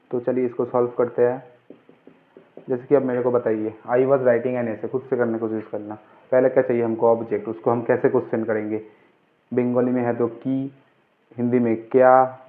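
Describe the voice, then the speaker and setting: A man teaching as an informal grammar tutor, instructive, asking questions and answering them himself. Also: medium pitch. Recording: muffled, phone-like, narrow-band sound.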